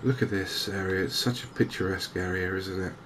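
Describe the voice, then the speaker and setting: A man with an English accent giving soft, gentle, unhurried cozy ASMR commentary on a video game as the channel host. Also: low-pitched, steady tone, slight background noise. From England.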